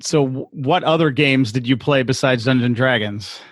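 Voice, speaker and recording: A man hosts an interview podcast in a medium-pitched voice. The sound is dry and close, with no room around it as he presents the show and puts questions to a guest. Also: moderate pace, dry recording.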